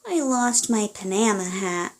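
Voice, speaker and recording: A woman's voice: medium pitch, lively intonation, a little slow. A woman voicing a small, frightened boy mouse in a storybook, whimpering and wailing. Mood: sad, then proud. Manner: whimpering, wailing when scared.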